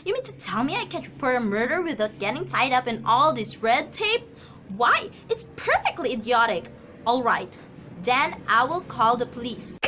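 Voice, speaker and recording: A woman's voice: on the high side, big pitch swings, moderate pace, background noise. A woman acting an anxious, imperious, easily flustered wife in an amateur radio drama, agitated and stammering. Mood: exasperated.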